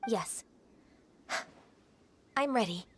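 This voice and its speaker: A woman voicing a gentle, dutiful young half-human secretary in a video game, polite and earnest. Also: a little high, expressive, extremely slow, slight background noise. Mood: earnest, subdued. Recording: game music playing over the voice.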